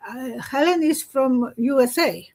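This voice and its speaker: Woman hosting a video call, high voice, eager and chatty. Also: measured pace, a little mellow. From Poland. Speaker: host, psychic. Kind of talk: casual chat.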